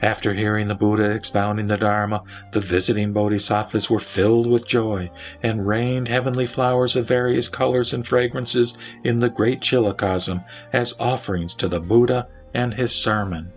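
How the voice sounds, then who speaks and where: A man reciting Buddhist scripture as scripted narration, in a solemn, measured, deliberate manner. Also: on the low side, level tone. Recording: roomy echo with music underneath. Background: background music.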